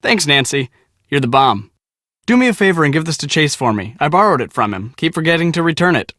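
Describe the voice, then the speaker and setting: An actor playing a cocky, excitable young American storm-chasing photographer in a video game, slangy, boastful and dramatic. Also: male voice, on the high side, brisk, dry recording, studio quality. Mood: breezy, friendly. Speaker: video game character, storm team media guy. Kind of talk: animated casual game dialogue.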